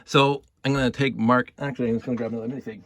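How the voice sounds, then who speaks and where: A man's voice: medium pitch, fairly even intonation, very fast, fairly bright tone. A man telling a story casually and off the cuff, rambling and repeating his words as he goes. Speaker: storyteller and video host. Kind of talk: casual improvised storytelling with toy props.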